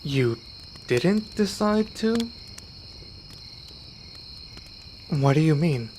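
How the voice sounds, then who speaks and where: An earnest young male werewolf, acted by a man for an ASMR roleplay, with a slightly high voice. He is gentle and protective, soft-spoken and given to pauses. Crickets and insects chirp faintly behind him. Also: animated, a little hiss or hum. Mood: curious, concerned.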